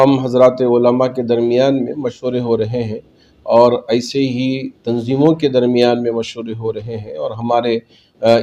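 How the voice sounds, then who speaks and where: Male cleric, dark soft-edged tone, measured formal delivery, public appeal. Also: medium pitch, lo-fi sound. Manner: measured, formal, Arabic-laced religious Urdu phrasing. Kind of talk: spoken public statement to community.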